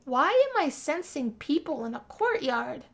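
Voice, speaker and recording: A woman's voice: high-pitched, sing-song, a little slow, creaky, full character voice. A woman playing a homesick, dramatic young female music student who complains theatrically in a game role-play narration. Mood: grumbling, musing.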